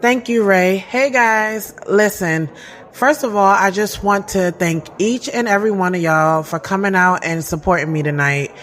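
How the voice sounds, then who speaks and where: A woman's voice: mid-range voice, normal speed, fairly bright tone, lightly acted. A woman playing a heartfelt, lovelorn thirty-year-old who narrates her own story in a conversational, confiding way for an audio drama. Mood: grateful, excited. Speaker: lead actress and narrator.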